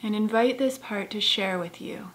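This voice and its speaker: A woman therapist leading a guided meditation in a gentle, soft-spoken, unhurried way with long pauses. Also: mid-range voice, poor audio.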